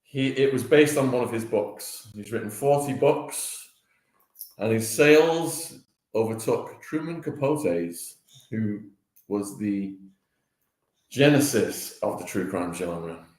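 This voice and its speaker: A man's voice: lowish voice, animated, slow pace, a little mellow. A man hosting a casual breakfast live stream, chatting and greeting viewers by name. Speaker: livestream host. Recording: echoey room.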